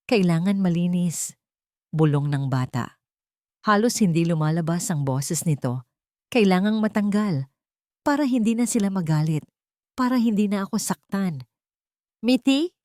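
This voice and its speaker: Woman storyteller, lowish voice, dramatic scripted narration, very dry close sound. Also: darkish tone, dry recording.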